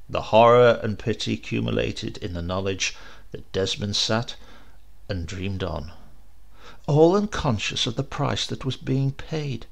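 A man reading a horror story as an audiobook narrator, theatrical and suspenseful, with emphatic swells and hushed drops. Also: on the low side, vocal fry.

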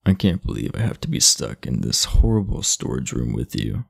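A man playing an aloof, irritable, sleepy teenage delinquent in an ASMR roleplay, blunt, sardonic and guarded, with a low, gravelly voice. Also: very animated, moderate pace, no echo, studio quality, full character voice. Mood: annoyed. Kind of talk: ASMR roleplay, speaking to the listener.

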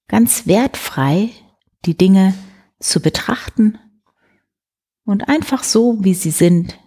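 Slow, breathy, soothing woman. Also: on the low side. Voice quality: soft, breathy. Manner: gentle, soothing, unhurried. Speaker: host of a bedtime story show. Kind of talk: guided sleep meditation, soft bedtime storytelling. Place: indoor room beside a wood stove. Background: soft music.

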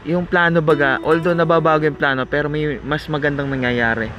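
A man's voice: on the high side, steady tone, fairly quick, highs cut off, music in the background. A young Filipino man vlogging outdoors at night, talking in an earnest, reflective way with plenty of fillers, close to a handheld camera. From Philippines. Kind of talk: casual chat. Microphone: camera held close in selfie framing.

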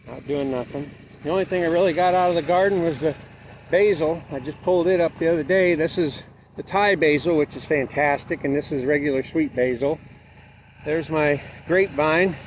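A man giving a plain-spoken, unhurried walk-through of his farm garden outdoors, filmed on a handheld camera as he walks. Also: on the high side, lo-fi sound, a little hiss or hum. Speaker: homestead vlogger. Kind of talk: casual walk-through narration. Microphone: handheld camera carried while walking.

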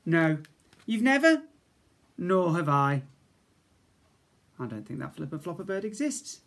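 A man's voice: on the high side, very animated, measured pace, gravelly, a bit bright. A British man in his fifties, a schoolteacher, reading comic rhymes to young children expressively, with chatty asides. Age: 50s. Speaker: infant school teacher. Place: small indoor school office. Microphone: device camera at arm's length.

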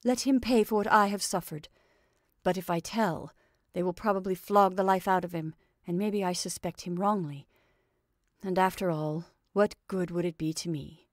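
A woman's voice: on the low side, moderate pace, dry recording, clean studio sound, lightly acted. A woman voicing a weary old male prisoner. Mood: brooding. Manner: bitter, then forgiving.

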